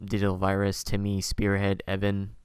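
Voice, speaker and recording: A man hosting a podcast in casual conversation, talking in a rambling, halting way and often correcting himself. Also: sits low, monotone, clear, resonant tone, no room sound, clean studio sound. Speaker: podcast host. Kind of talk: casual interview chat.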